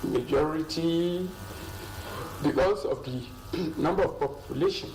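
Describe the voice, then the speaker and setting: Older nigerian man, professor type, talks real slow w lots of uhs, kinda far off in a roomy studio. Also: fairly high pitch, darkish tone. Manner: slow, measured, with many pauses and fillers. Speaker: linguistics professor, studio guest. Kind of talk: studio interview. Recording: roomy and a bit distant, faint noise.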